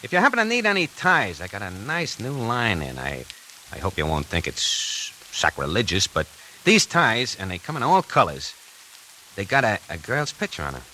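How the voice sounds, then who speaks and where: A man playing a nervous, talkative tie salesman who narrates his own story in a 1940s radio drama, moving between hushed and frantic. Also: lowish voice, big pitch swings, normal speed, slight background noise, rough recording. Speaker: radio actor narrating in character.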